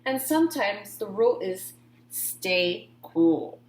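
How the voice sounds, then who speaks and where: A woman voicing a patient but firm female schoolteacher in a children's picture book, in an instructive, gently admonishing manner. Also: mid-range voice, sing-song, takes it slowly, roomy sound, slight background noise.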